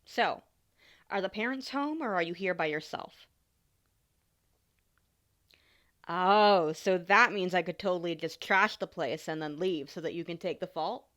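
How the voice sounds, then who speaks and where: A woman's voice: medium pitch, fairly bright tone. A woman playing a caring, teasing young adult older sister in an ASMR roleplay, talking casually and informally straight to the listener. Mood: playful, casual. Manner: playful, informal, sighs and small laughs.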